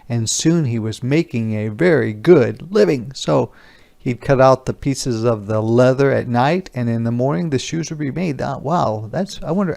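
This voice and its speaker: Expressive man reading aloud to children. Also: mid-range voice, gravelly. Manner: expressive, explains words and asks questions. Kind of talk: interactive picture-book read-aloud.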